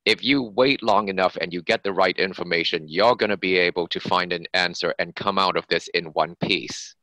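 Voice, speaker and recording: Male author guest, low-pitched with a bright edge, explanatory conversational answers, dry recording. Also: no room sound, rough recording. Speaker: author being interviewed as a guest.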